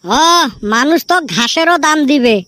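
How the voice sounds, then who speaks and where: A woman's voice: sits high, normal speed, fairly bright tone, no echo, clean studio sound. A woman voicing a cheeky young village son in a comedy cartoon, whiny and pleading, with a northern Bengali Rangpuri accent. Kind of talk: comic cartoon dialogue.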